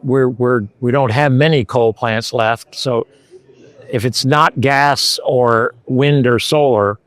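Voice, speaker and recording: A man's voice: medium pitch, fairly even intonation, takes it slowly, no echo. A man from Scotland hosting interviews at a trade show, chatty and conversational with hesitant fillers.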